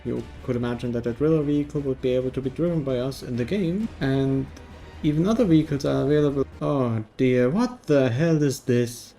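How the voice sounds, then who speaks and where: A man's voice: mid-range voice, brisk, mellow, soft background music. A man narrating a scripted video game review in a wry, tongue-in-cheek way.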